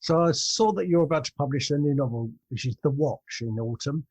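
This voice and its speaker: A man's voice: a little high, a little fast, darkish tone, breathy edge. A man hosting an interview podcast asks questions in an informal, slightly hesitant conversational way. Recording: dull, lo-fi, dry sound.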